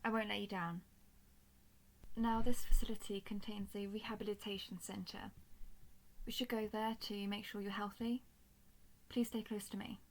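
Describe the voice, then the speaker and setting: Woman acting as a stoic, creaky-voiced robot soldier. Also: medium pitch, little pitch movement, lightly acted, poor audio. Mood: calm, dutiful. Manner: formal, measured, emotionless delivery. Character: stoic, dutiful, protective female robot soldier. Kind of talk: scripted audio roleplay addressed to the listener.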